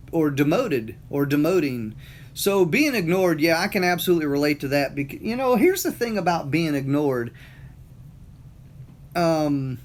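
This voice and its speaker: Rambling Southern man, slightly high voice. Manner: rambling, repeats words, lots of fillers. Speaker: motivational talker. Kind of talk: casual monologue.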